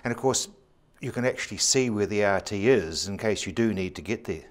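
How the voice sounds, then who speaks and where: Kiwi bloke asking quick short questions, lowish voice. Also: male voice. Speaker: interviewer. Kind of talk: interview questions.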